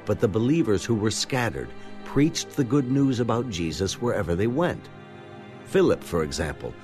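A man with a low voice narrates scripture dramatically, his pitch moving widely as the action builds. His delivery is expressive and vivid, set over a clear music score.

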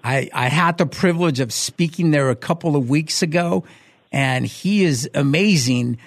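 Upbeat pastor hosting a talk show. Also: male voice, fairly high pitch, moderate pace, gravelly, no echo. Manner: upbeat, enthusiastic. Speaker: host, pastor. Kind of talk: radio talk show chat.

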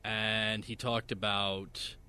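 Brief: male radio producer, lowish voice, soft-spoken casual studio banter. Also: flat delivery, slow, smooth. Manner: quiet, low-key interjections.

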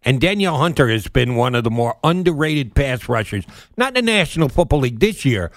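A man's voice: mid-range voice, sing-song, a little fast, no echo, studio quality. A man hosting a sports radio show, asking his guest long, conversational questions.

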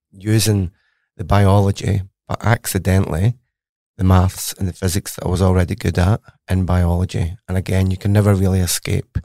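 A man's voice: deep voice, mellow, no echo, clean studio sound. A middle-aged man with a Scottish accent, a scientist guest in a podcast interview, telling his story eagerly and conversationally. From Scotland.

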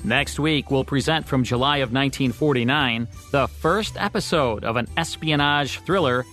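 A man's voice: medium pitch, normal speed, a bit bright, soft background music. An American man co-hosting a radio program, reading scripted narration about broadcast history. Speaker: radio show co-host.

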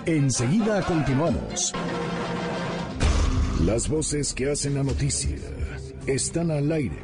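A man's voice: medium pitch, very animated, slow, loud music behind the voice. A man reading a scripted radio station ident as an announcer.

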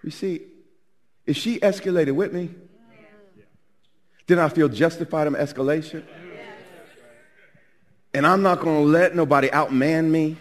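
A man preaching a sermon, with a naturally loud voice, swinging from loud to hushed. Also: a little high, measured pace, pauses often. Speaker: pastor.

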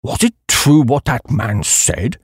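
A man's voice: medium pitch, sing-song, slow, husky, dry recording, studio quality, full character voice. A man voicing a haughty, easily affronted old English duke in an audiobook, stiff and formal. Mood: angry, stern. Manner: stiff, formal, coldly polite.

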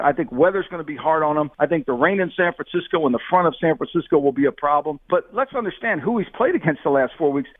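A man's voice: a little high, no room sound, phone-quality sound. A man giving emphatic, argumentative sports commentary over thin, band-limited audio.

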